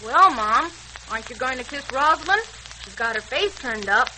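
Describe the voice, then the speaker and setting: Casting: cheeky wisecracking kid brother, high animated voice, 1940s radio recording with hiss. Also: female voice, normal speed. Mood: teasing. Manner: brash, mocking, exclamatory. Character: cheeky young boy, wisecracking and excitable. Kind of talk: 1940s radio serial acting.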